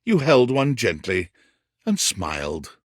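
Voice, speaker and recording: A whimsical storybook narrator for a video game, with a husky voice in a very dry, close recording. His delivery is theatrical and lively in pitch, wry yet tender, with carefully weighed phrasing. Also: slow, no echo, heavily acted. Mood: tender, wistful. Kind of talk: scripted second-person storybook narration.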